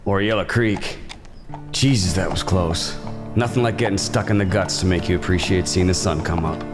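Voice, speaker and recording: An actor playing a gruff, world-weary bounty hunter in a western audio drama, terse, hard-bitten and profane. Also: male voice, sits low, fairly even intonation, moderate pace, close to an everyday voice. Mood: defiant musing. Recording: music bed behind the voice.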